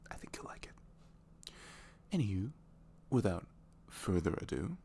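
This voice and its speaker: A man giving a soft, casual introduction to his listeners as an audio roleplay creator. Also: low voice, very animated, slow pace, vocal fry, whispered. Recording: faint background noise.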